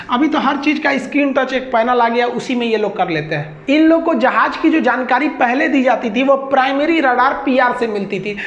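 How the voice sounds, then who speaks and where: A woman with a Bihari accent giving an informal explainer lecture, colloquial and repeating points with everyday examples. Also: sits high. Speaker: teacher. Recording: echoey, dull lo-fi audio.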